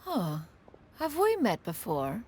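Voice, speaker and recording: Haughty, expressive immortal woman. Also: mid-range voice, takes it slowly, slight background noise. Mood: courteous. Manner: haughty, formal, archaic phrasing. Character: proud, dignified immortal adept, adult female. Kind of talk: animated video game voice acting. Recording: music playing behind the voice.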